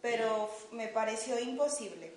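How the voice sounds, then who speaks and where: A young Peruvian woman in her twenties giving a personal testimony in short phrases, pausing for an interpreter, with a Peruvian Spanish accent. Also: a little high, a little mellow. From Peru, Lima. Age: 20s. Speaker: new mission school student. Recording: echoey hall.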